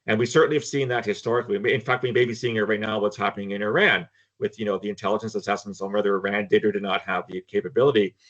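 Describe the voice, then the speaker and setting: Older man, low voice, muffled audio. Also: level tone, rapid-fire, a bit bright. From Canada. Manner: emphatic, frank, repeats words when starting. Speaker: guest, former intelligence analyst. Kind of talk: casual panel discussion.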